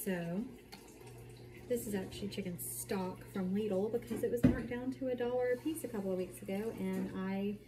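A woman's voice: mid-range voice, normal speed. A woman in her thirties chatting casually to camera as she demonstrates home cooking, with explanatory asides as she works. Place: home kitchen, indoors at night. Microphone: camera mic a short distance away. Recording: clearly noisy home kitchen recording.

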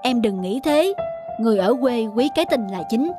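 A man's voice: extremely high pitch, expressive, fairly quick, over music. A man voicing a generous, easygoing young husband in a cartoon family drama. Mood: persuasive. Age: young adult.

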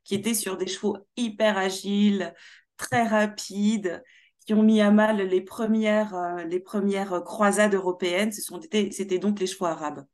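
A young woman from Paris with Martinican roots, speaking as a guest in an animated interview over a video call. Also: mid-range voice, a little slow. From Martinique heritage, born in Paris. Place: small room at home.

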